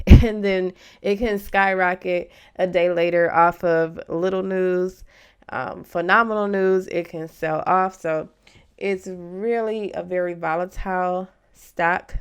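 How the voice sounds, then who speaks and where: Crisp-voiced woman with a touch of fry. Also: on the low side. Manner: encouraging, reassuring advice-giving. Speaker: host of a stock investing channel for beginners. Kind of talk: informal explanatory video commentary.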